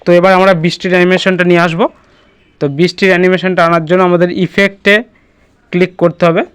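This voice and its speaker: A man's voice: high-pitched, clean tone. A man presenting a casual step-by-step screen tutorial, instructing and often checking that the listener follows.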